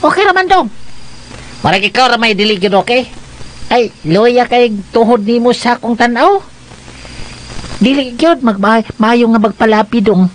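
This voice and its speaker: A woman's voice: fairly high pitch, expressive, moderate pace, long pauses, close to an everyday voice, rough recording. A woman playing a joking, deferential, jittery friend in a radio drama, stammering and repeating words. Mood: teasing. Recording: faint noise behind the voice.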